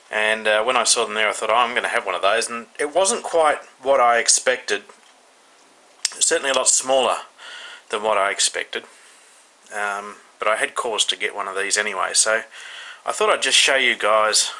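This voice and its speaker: A man with an Australian accent giving a casual, unscripted hands-on product review, haltingly thinking aloud. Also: on the low side, bright, forceful tone, poor audio. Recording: faint background noise.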